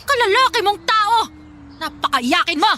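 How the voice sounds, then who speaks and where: A woman's voice: extremely high pitch, animated, moderate pace, very noisy. A woman playing a cruel, hot-tempered Filipino mother in a radio drama, scolding and threatening her son harshly. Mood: menacing, angry. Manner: harsh, threatening, taunting shouts. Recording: loud dramatic music underneath.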